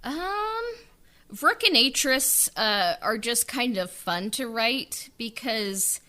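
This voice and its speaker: A woman with a bright, clear voice talks through her writing process in a loose, casual way. She rambles and leans on filler words while she thinks out loud. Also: fairly high pitch, expressive, takes it slowly. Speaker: writer and producer of an audio drama. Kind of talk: casual interview chat.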